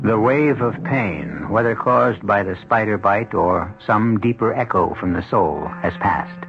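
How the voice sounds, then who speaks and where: A man hosting and narrating a 1970s radio drama in a grave, measured, dramatic manner. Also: fairly low pitch, expressive. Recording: lo-fi old radio, music behind.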